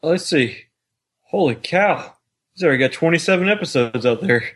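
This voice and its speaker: A man's voice: on the high side, animated, normal speed, fairly bright tone. A man talking casually as a podcast co-host, with an informal, easygoing manner.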